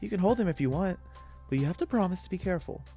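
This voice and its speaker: An awkward, earnest schoolgirl, acted out by a man in a story reading. The voice sits fairly high, rambling eagerly and getting flustered easily, with music in the background. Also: animated, racing, warm tone. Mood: excited rambling. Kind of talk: dramatic first-person story reading.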